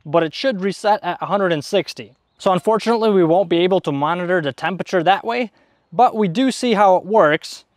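A man with a slightly high voice walks through a practical repair demonstration. He is informal and plain-spoken, going step by step. The clip-on mic gives a close, dry sound with little room to it. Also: brisk, no echo. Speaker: DIY repair video host. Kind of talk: hands-on demonstration and explanation. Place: outdoors in a leafy garden at a table. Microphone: clip-on wireless mic on the chest.

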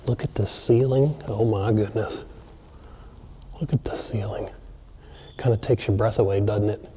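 A man excitedly narrating a walkthrough of an empty mansion, chatting to viewers as he films. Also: on the low side, measured pace, slight background noise. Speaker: abandoned places explorer. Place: large empty mansion, indoors.

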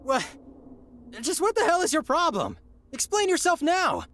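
An actor playing an earnest, bewildered young lord in a video game, incredulous and questioning. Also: male voice, very high-pitched, huge pitch swings, normal speed, fairly bright tone, a little hiss or hum, exaggerated voice.

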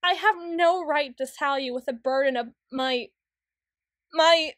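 Woman acting a high-voiced, flustered tsundere girl. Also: fairly bright tone, no room sound, studio quality. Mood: pleading, flustered. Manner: halting, flustered, self-interrupting. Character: flustered, self-doubting, bookish tsundere teenage or young woman. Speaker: audio roleplay performer. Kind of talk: ASMR roleplay monologue.

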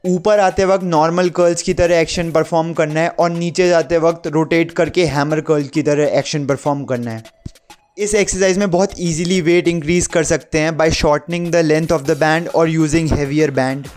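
A young man explains exercises as a home workout instructor. His voice sits a little high for a man, and he slips English gym terms into his narration. Soft music plays behind him. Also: fairly even intonation, normal speed. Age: young adult.

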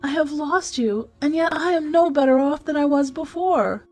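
Plaintive, gentle young princess, voiced by a woman. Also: fairly high pitch, lively intonation, a little fast, husky, faint music behind, pitched above the performer's own voice. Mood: sorrowful. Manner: earnest, plaintive, formal storybook speech. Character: gentle, tearful young princess, loving and sorrowful.

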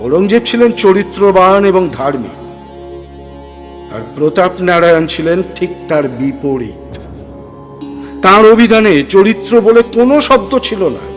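High-pitched male storyteller in long reverb. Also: lively intonation, unhurried, pauses often. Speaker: story narrator. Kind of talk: dramatic scripted audio storytelling. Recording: long reverb, music bed.

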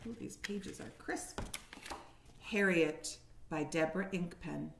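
A woman's voice: fairly low pitch, takes it slowly, gravelly, a little hiss or hum. A woman around her forties, a kindergarten teacher reading a picture book aloud expressively and putting questions to young listeners, recorded at home on a webcam. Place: living room at home, indoors. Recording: roomy echo on a home webcam.

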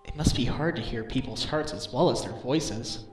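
A man's voice: mid-range voice, moderate pace, natural voice. A man voicing an earnest, caring defense lawyer in a video game fan dub, with an inquisitive manner. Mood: curious. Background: game music.

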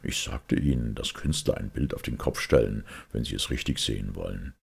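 Guy doing a sombre priest detective, super low and really husky, measured audiobook talk. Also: heavily acted. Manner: measured, reflective explaining. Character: grave, thoughtful priest detective, calm and sombre. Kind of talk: audiobook character acting.

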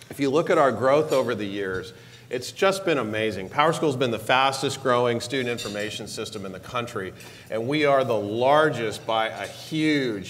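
A man's voice: a bit bright, a little hiss or hum. A man in his forties on a conference stage, giving an enthusiastic keynote speech about a product release. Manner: upbeat, enthusiastic pitch with stressed repeated words. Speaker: keynote speaker, technology executive. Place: large conference hall stage. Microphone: lapel mic.

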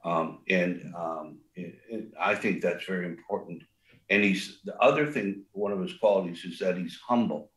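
Older man, low voice, rambling and echoey. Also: normal speed, a bit bright. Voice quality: big voice. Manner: rambling, many fillers and restarts. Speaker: restaurant co-founder guest. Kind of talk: casual interview talk.

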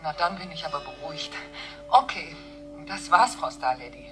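Helpful female receptionist, bright crisp tone, anxious, polite, faint music, radio drama. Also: low voice, lively intonation, moderate pace. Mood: accepting. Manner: polite, concerned. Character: anxious, helpful female front-desk clerk. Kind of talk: radio play acting.

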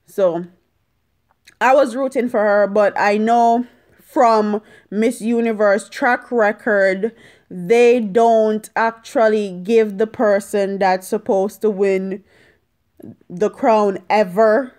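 A young woman speaks in a medium-pitched voice at an unhurried pace. She is casual yet emphatic, stressing and repeating phrases as she shares opinions with viewers. It is recorded on a phone held at arm's length in a room at home. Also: steady tone, a little mellow. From Jamaica. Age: young adult. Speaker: vlogger. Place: small indoor room at home.

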